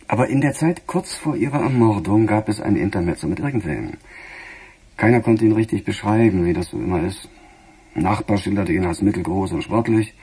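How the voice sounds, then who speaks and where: An actor playing a weary, middle-aged police chief in a radio crime drama, musing in a husky, sardonic, halting way. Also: male voice, low-pitched, mellow, full character voice. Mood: musing, confiding. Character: weary, embattled male police chief, brooding and gruff. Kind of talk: naturalistic German radio crime drama acting.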